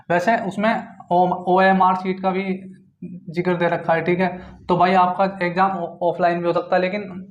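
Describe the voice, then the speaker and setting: A man with a high voice talks viewers through exam updates in an informal explainer style. He repeats himself and keeps checking that listeners follow. The sound is dull and echoes around the room. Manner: repetitive, punctuated with check-in tags. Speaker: exam-news presenter for job aspirants. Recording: dull lo-fi, roomy echo.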